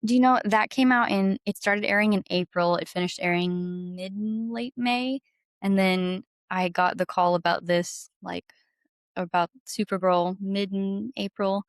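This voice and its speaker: A woman's voice: mid-range voice, steady tone, measured pace, clear, resonant tone, no echo, studio quality. A woman talking casually and enthusiastically as a guest in an interview, effusive about her creative work. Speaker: podcast guest, singer-songwriter and audio drama creator.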